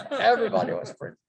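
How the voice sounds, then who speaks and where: Old man in his nineties, halting, full of fillers and restarts, storytelling. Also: high voice, gravelly. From China. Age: 90s. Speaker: guest speaker. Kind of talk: storytelling memoir.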